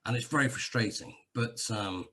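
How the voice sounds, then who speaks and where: A man from England speaks in a husky, slightly rough voice at an ordinary pitch. He talks casually as a guest, circling back on himself and joking at his own expense. The tone stays conversational throughout. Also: normal speed, a bit bright. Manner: chatty, self-deprecating, rambling with restarts. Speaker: guest sharing a testimonial. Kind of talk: casual video-call chat. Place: Cyprus.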